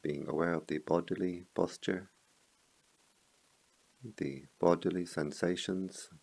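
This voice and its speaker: A man with a very low voice and a heavy, creaky fry guides a meditation. He speaks as a teacher, in short, measured phrases. Long pauses separate his slow instructions. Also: fairly even intonation, darkish tone, low-quality recording.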